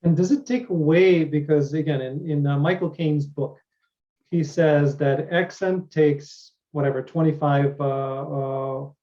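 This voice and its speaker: Male interview host, somewhat high, mellow tone, muffled lo-fi audio. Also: fairly even intonation, a little slow. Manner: brief affirmations and thoughtful questions. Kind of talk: casual interview chat. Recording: dull lo-fi sound.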